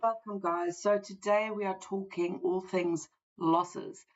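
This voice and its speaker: A woman hosting a live stream about trading mindset, talking in a chatty, earnest way with a voice croaky from the flu. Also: mid-range voice, steady tone, takes it slowly, lo-fi sound. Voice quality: gravelly. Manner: chatty, earnest, thinks aloud with fillers. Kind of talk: casual livestream talk.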